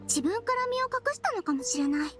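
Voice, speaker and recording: A woman voicing a tiny, kindly girlish nurse creature in a video game, gentle, earnest and composed. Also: very high-pitched, big pitch swings, brisk, over music. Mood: reassuring. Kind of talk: Japanese game voice acting.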